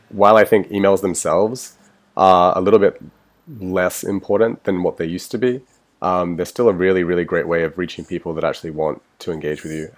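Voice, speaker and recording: A man's voice: low voice, darkish tone. An Australian man with a cold, presenting a marketing webinar over slides in a casual way, with lots of ums and restarts. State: has a cold, sniffling.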